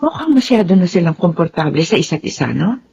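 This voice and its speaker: An actress playing a suspicious, insecure middle-aged mother in a Filipino radio drama, with a husky voice. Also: lowish voice, big pitch swings, a little fast, dark tone, dull audio, exaggerated voice. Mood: suspicious, guarded.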